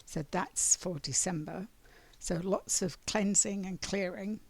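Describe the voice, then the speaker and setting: A raspy-voiced woman giving a spiritual monthly oracle card reading, meandering and often clearing her throat. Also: lowish voice, slow pace.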